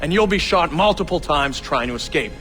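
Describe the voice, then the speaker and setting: An actor playing a smug, ruthless rogue agency boss who taunts with drawn-out emphasis in a dramatic video game. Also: male voice, a little high, lively intonation, pushed and bright, soft background music. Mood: smug, menacing.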